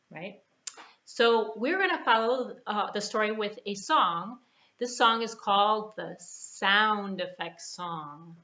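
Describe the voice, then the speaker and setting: A woman presenting a children's storytime, speaking to young children in simple, deliberate phrases. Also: mid-range voice, pushed and bright. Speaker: children's library puppet show presenter. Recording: dull lo-fi sound, faint music.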